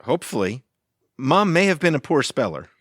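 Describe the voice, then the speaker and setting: Man acting a deadpan intern with lively inflection. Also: a little high, normal speed, no echo, studio quality. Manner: deadpan, literal. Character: deadpan, corrective young office intern. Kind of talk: audio theatre comedy acting.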